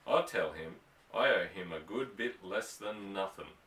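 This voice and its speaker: A man's voice: sits low, lively intonation, a little slow, fairly bright tone. Guy doing a plainspoken bronco buster, folksy western twang, audiobook story, kinda far off in an echoey room. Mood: matter-of-fact yarning. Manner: folksy drawling rustic dialect. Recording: roomy echo, distant from microphone.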